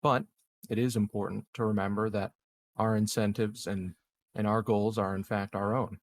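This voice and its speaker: A man improvising in-character conversation as a roleplaying player, phrasing things in a measured, halting way. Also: lowish voice, level tone, slight background noise.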